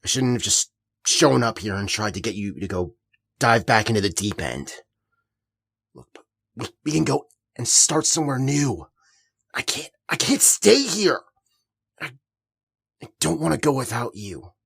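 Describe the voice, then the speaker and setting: An actor playing a troubled young friend from the past, husky and hesitant, in a naturalistic audio drama. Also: medium pitch, sing-song, a little slow, long pauses, fairly bright tone, full character voice. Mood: pleading. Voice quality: husky, gravelly. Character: troubled, pleading street-kid friend from the past.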